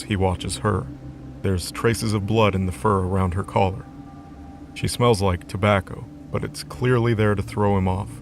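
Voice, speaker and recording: A man's voice: fairly low pitch, slight background noise. A man narrating a scripted fantasy story as the narrator of an audio drama. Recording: music under the voice.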